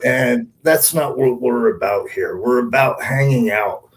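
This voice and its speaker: A man's voice: medium pitch, unhurried, poor audio. A man hosting a podcast in a casual, heartfelt way, swearing freely and talking to listeners like friends. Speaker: podcast host. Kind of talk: casual heartfelt monologue and chat.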